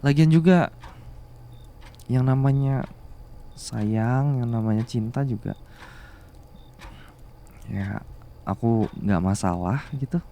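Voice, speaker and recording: Slow-talking devoted young boyfriend, acted by a man. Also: medium pitch, vocal fry, slight background noise. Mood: tender, earnest. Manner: soft, halting, tender, with murmured hums. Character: devoted, bashful, fussing younger boyfriend in love with boss. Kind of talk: intimate ASMR romance roleplay.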